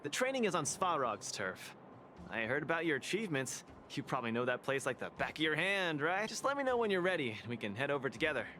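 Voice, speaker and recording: Bright, brash young boxing champ. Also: male voice, a little high, quick pace, a little hiss or hum. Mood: upbeat. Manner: excitable, casual, teasing. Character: brash, cheerful young male underground boxing champion, eager and cocky. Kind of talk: video game voice acting.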